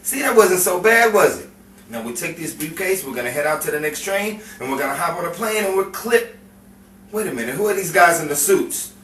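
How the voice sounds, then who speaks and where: A man's voice: high voice, lively intonation, brisk, a bit bright. A man playing a cocky, menacing gangster boyfriend with slangy tough-guy talk in a 1950s crime melodrama. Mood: brisk, commanding. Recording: roomy, distant mic, faint noise.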